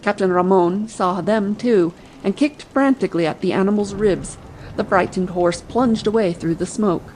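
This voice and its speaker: A woman's voice: mid-range voice, normal speed, a little mellow, a little hiss or hum, low-quality recording. A woman narrating a scripted adventure audiobook.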